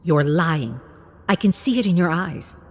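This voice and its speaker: Proud, stern middle-aged father, played by a woman narrator: low voice, accusing, scornful, story narration. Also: lively intonation, a little slow, faint music behind.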